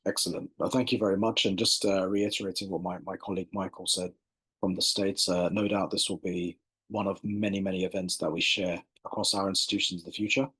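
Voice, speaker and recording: English male barrister, very low and husky voice, measured lawyerly delivery with repeated words, heard over a video call. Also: flat delivery, bright, forceful tone, low-quality recording. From England. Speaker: barrister and institute director, panelist. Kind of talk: academic panel talk on a video call.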